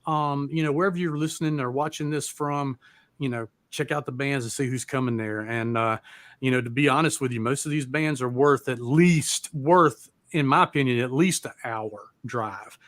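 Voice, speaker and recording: A man hosting a casual live music chat, talking in an excitable, slangy way with plenty of swearing. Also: mid-range voice, moderate pace. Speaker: music show host and band member.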